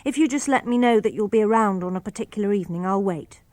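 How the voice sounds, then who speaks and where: A woman's voice: medium pitch, fast talker, a little mellow, dry recording. Composed woman reading letters. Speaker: reader of letters. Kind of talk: reading letters aloud for radio.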